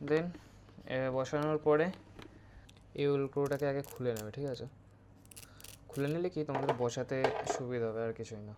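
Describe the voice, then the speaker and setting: A man's voice: mid-range voice, fairly even intonation, moderate pace, long pauses, darkish tone, a little hiss or hum. A man with a West Bengal Bengali accent giving a casual, instructive hands-on review, mixing in English words. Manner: informal, instructive, mixes English words into Bengali, often checks with "okay?". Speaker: motovlogger presenting a product review.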